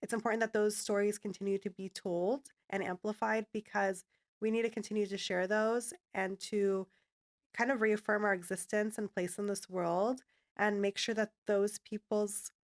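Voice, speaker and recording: A woman's voice: medium pitch, little pitch movement, normal speed. A woman hosting a podcast, talking with a guest in an earnest and affirming way. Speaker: podcast host. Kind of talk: casual interview talk. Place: podcast studio.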